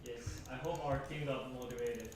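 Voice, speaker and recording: A man's voice: mid-range voice, fairly even intonation, normal speed, background noise. A man chatting casually while playing a game on stream. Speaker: gamer on stream. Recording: echoey, distant, under loud music.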